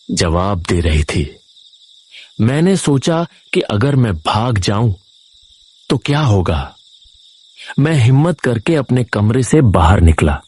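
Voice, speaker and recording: A man with a low, husky voice tells a first-person horror story. He reads slowly and with suspense, as a dramatic scripted narrator. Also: a little mellow.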